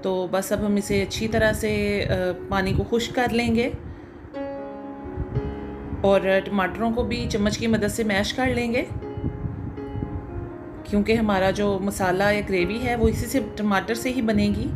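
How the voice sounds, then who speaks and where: A woman hosting her home cooking channel, explaining each step in a homely way with frequent filler pauses. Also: medium pitch, steady tone, music in the background. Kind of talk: home cooking demonstration voice-over.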